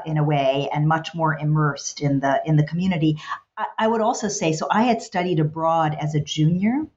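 A woman's voice: deep voice, darkish tone. A middle-aged woman whose first language is Hungarian, answering interview questions thoughtfully with many restarts and fillers. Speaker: guest, college president. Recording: dull, lo-fi recording.

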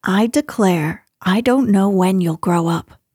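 A woman's voice: medium pitch, unhurried, a little mellow, breathy edge, no room sound, studio quality. A woman voicing a tired, scolding older housekeeper who fusses in exasperation. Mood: exasperated. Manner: exasperated, fussing.

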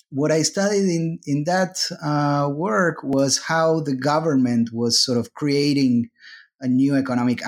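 Male academic guest, unhurried, searching for words mid-sentence. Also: mid-range voice. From Argentina. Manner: hesitant, many uhs and restarts. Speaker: guest author, sociology professor. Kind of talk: interview answers.

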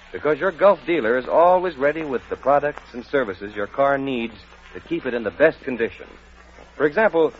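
A man's voice: mid-range voice, a little hiss or hum. An American man reading a 1940s radio advertisement as announcer, with folksy, emphatic salesmanship. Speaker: commercial announcer. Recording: dull vintage radio broadcast.

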